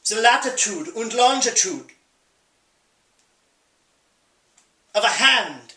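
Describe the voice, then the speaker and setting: A man playing a flamboyant, bitter East German drag rock diva in a grandiose, campy stage monologue with dramatic pauses. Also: high-pitched, lively intonation, sharp tone, full character voice. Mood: musing, suggestive. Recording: roomy echo, distant microphone.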